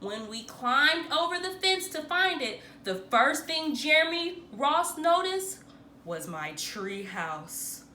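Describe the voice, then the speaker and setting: A woman reading a children's picture book aloud in an expressive, theatrical way, like a teacher acting it out for young pupils. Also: high-pitched, slow pace, a little hiss or hum. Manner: theatrical, acts out the dialogue.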